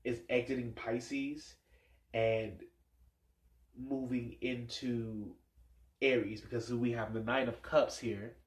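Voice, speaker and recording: A man's voice: medium pitch, fairly even intonation, slow pace, pauses often. A man with a stuffed-up nose giving a casual tarot reading, musing aloud and rambling with many fillers. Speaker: tarot reader. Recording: echoey room sound.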